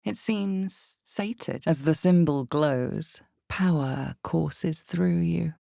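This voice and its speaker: Female game narrator: omniscient, ominous, dark mellow tone with heavy fry, measured dramatic delivery, very dry close sound. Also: no room sound, exaggerated voice. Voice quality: creaky, low. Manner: slow, deliberate, dramatic storytelling. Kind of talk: scripted second-person dark fantasy narration.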